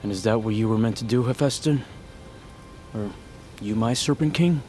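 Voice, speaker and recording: An actor playing a wounded, bitter young noble son in a fantasy audio drama, earnest and brooding, with halting delivery. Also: male voice, fairly low pitch, darkish tone, lightly acted. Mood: wary, brooding. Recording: music under the voice.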